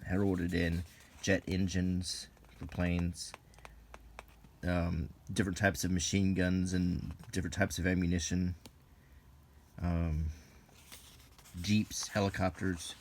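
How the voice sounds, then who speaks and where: A man giving unscripted, ASMR-style commentary as he browses, in a musing, halting way with pauses. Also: low-pitched, little pitch movement, slight background noise. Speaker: video host reviewing an old magazine.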